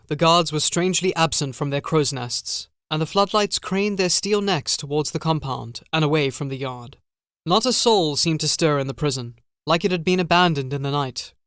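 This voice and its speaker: Smooth, higher-voiced man narrating horror. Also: a bit bright, dry recording, clean studio sound. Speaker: audiobook narrator and author. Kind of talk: first-person horror audiobook narration.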